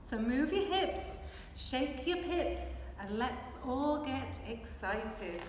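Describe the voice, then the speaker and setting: A woman reads a children's picture book in a high voice, very slowly and with a rhythmic, expressive delivery. She is recorded at a distance in a very echoey hall. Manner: expressive, measured rhythmic delivery while signing. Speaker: children's storyteller. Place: large hard-walled hall with brick and plaster. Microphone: camera a few metres away. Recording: echoey hall, distant microphone, faint noise.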